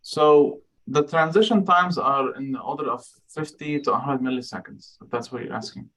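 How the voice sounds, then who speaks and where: Frank, halting man from Lebanon. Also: medium pitch, fairly bright tone, poor audio. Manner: frank, halting with many fillers and restarts. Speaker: guest speaker, microgrid researcher. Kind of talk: answering audience questions.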